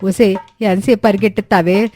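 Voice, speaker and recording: A woman's voice: mid-range voice, fairly even intonation, brisk, darkish tone, lightly acted. A woman voicing a lazy middle-aged mother-in-law in a cartoon story, bossy and indignant. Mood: alarmed, scolding.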